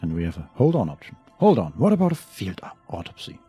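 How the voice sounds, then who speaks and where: A man with a husky voice, co-hosting a game playthrough in a laid-back way, chatting and reading options aloud. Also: low voice, wildly swooping pitch, quick pace, warm tone, soft background music. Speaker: let's play co-host.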